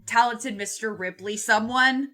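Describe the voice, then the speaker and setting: A woman's voice: a little high, a little slow, pushed and bright. A woman co-hosting a casual book discussion, irreverent and sweary, with "like" peppered through her sentences. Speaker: book podcast co-host.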